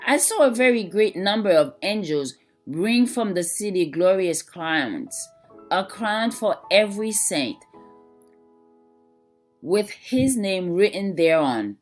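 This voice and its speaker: A woman reading a scripted religious devotional in a measured, reverent manner. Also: medium pitch, sing-song, faint music behind. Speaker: devotional reader.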